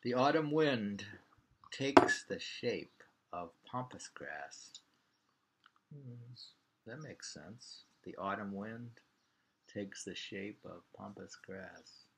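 Low, gravelly older American man. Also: expressive, takes it slowly, fairly bright tone. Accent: American Midland. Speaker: poetry reader and host. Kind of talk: casual chat and poetry reading. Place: small home room by a window, indoors. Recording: clearly noisy home webcam recording with clicks.

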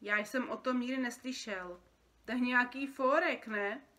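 Žena hrající drzého kluka s jasným, vyšším hlasem. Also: female voice, on the high side, bright, forceful tone, pitched above the performer's own voice. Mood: defiant. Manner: offhand, questioning. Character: cheeky, defiant young boy, curious and scornful. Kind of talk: storybook character reading.

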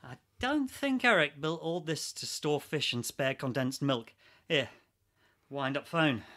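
A man acting in a radio play as a sharp, sarcastic, posh ex-army geologist trapped underground, needling and argumentative. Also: fairly high pitch, lively intonation, measured pace. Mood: suspicious.

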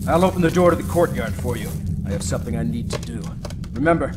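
A middle-aged man acting a stern, formal high school teacher in a video game. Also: lively intonation, fast, lightly acted. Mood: urgent, guarded. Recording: background music under dialogue.

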